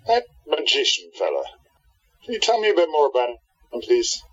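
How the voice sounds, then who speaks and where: Wronged radio-drama hero, male actor: low and husky, highly animated, emphatic, dull audio. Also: moderate pace, heavily acted. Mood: urgent, bitter. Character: intense wronged hero, bitter enemy of the villain.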